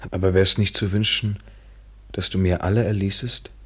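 Radio drama lover, male: very deep, husky, ironic, measured, world-weary but tender. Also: flat delivery. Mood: sardonic. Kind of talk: literary German radio play acting, 1970s.

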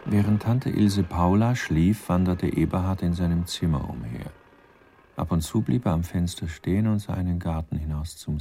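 An actor as the composed narrator of a radio crime play, reading literary prose in a measured, formal manner. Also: male voice, low-pitched, gravelly, warm tone, husky, exaggerated voice. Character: composed male storyteller of a crime tale.

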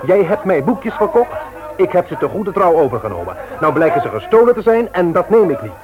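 An actor playing a stern, indignant brother-in-law in a Dutch radio play, emphatic and threatening when crossed. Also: male voice, sits high, very animated, brisk, narrow, tinny audio, music in the background. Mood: angry, threatening. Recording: very noisy old radio play recording.

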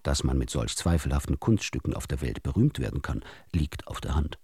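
Sehr tiefe, lebhaft modulierte Männerstimme. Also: male voice, extremely low pitch, lively intonation, a little fast, dark tone, slightly rough, dry recording, clean studio sound. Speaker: narrator. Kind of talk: literary radio story reading.

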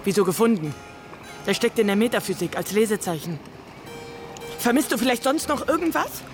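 A woman's voice: a little high, animated, a little fast, music in the background. An actress playing a hurt, angry girlfriend in a German radio drama, with a sarcastic, cutting delivery.

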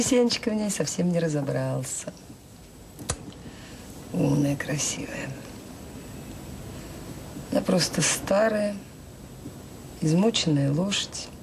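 An actress playing a weary middle-aged children's home worker in a radio drama, plain-spoken, wry and self-deprecating. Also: female voice, low voice, gravelly, full character voice. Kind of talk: radio drama acting, intimate conversation. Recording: hissy old audio play recording.

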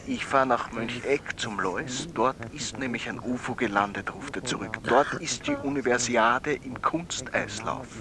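A man's voice: medium pitch, expressive, a little slow, hardly any pauses, crowd noise. A man with an Austrian accent playing an eccentric phone-in caller in a radio drama, earnestly telling an absurd tale. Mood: dramatic, hushed.

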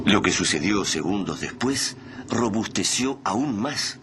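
A man's voice: on the low side, animated, a little slow. A man narrating a radio drama in a solemn, literary, dramatic style. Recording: clear background noise and ambience.

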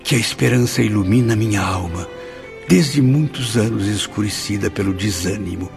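Deep, creaky, sorrowful praying spirit. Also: male voice, normal speed, over music. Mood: anguished hope. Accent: Brazilian. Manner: grave, earnest, prayerful. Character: sorrowful repentant male spirit, praying with new hope. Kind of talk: solemn Brazilian radio drama monologue.

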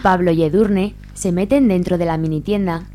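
A woman's voice: lowish voice, steady tone, normal speed, warm tone. A woman from reading scripted narration for a radio serial. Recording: faint hum and noise.

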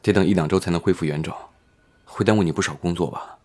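A man's voice: sits low, fast, a little mellow, close to an everyday voice. A man playing a caring, level-headed, protective colleague in an audio drama. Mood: concerned.

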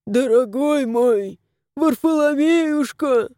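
A woman's voice: sits high, slow pace, mellow, no echo, clean studio sound, voice pushed up. A woman voicing a weepy little house spirit. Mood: fretful. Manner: wailing, pleading. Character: tearful little bearded male house spirit.

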